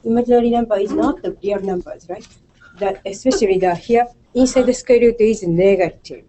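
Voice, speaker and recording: A middle-aged woman with a Japanese accent explaining math in a classroom, halting and repeating short phrases, checking with "right?". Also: medium pitch, dark tone, slight background noise. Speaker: math instructor. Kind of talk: explaining math to a small study group. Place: classroom with whiteboard and posters. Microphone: far room camera on a video call.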